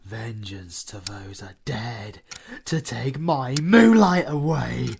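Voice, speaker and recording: A British man playing a grieving, vengeful werewolf villain in an audio roleplay, with breathy, dramatic, halting delivery. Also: mid-range voice, background noise. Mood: bitter, vengeful. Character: brooding male werewolf villain, vengeful, grieving, tender to lover.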